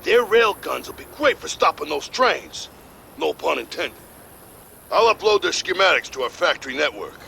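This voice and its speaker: An actor playing a casual, enthusiastic ship engineer in a video game, with a breezy, informal manner. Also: male voice, fairly high pitch, sing-song, normal speed, creaky, sharp tone, a little hiss or hum, full character voice.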